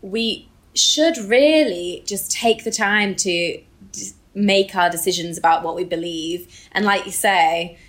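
A woman's voice: mid-range voice, a little slow. A British woman co-hosting a podcast in a casual, chatty and informal way, with a giggly streak. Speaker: podcast co-host.

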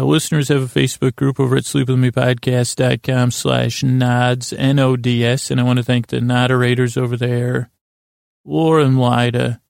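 Very creaky, monotone man rambling gently. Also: mid-range voice, no room sound, clean studio sound. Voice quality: creaky, gravelly. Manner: meandering and halting, with repeated false starts and tangents. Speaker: host of a bedtime sleep podcast. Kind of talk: rambling, unhurried bedtime monologue.

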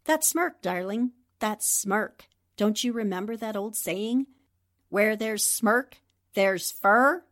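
A woman playing a shrewd, self-assured 1940s newspaperwoman in a radio drama, explaining things precisely and with gentle teasing. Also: medium pitch, slow pace.

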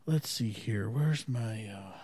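A man's voice: on the low side, takes it slowly, a little mellow, breathy edge, no room sound. A man hosting his own podcast from home, chatting casually in a laid-back, rambling way. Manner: laid-back and rambling, with frequent fillers and chuckles. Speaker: podcast host. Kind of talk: casual solo podcast chat. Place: at home by an open window.

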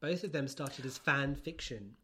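A British man co-hosting a podcast, chatting casually and humorously about books in an animated, chatty way. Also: medium pitch, measured pace, over a noisy crowd. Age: adult, over 25. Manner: animated, chatty, giggly storytelling. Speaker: podcast co-host. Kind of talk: casual humorous book chat.

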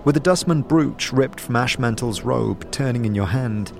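A man narrating a fantasy audio drama in the second person, with a measured, descriptive storytelling delivery. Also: mid-range voice, gravelly, darkish tone. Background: background music.

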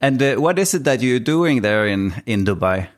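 A man hosting an interview show, speaking in a measured way and giving a motivational introduction. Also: mid-range voice, expressive. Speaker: podcast host.

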